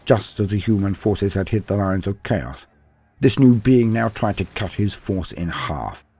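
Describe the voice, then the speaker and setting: Male storyteller, lowish husky voice, dramatic scripted narration of war fiction over soft music. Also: unhurried, no room sound. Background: faint music.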